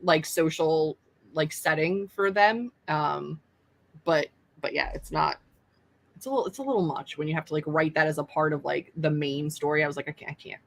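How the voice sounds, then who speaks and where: A woman's voice: deep voice, normal speed, creaky. A young adult woman, an author hosting a casual live stream, chatting in a self-deprecating way with lots of fillers.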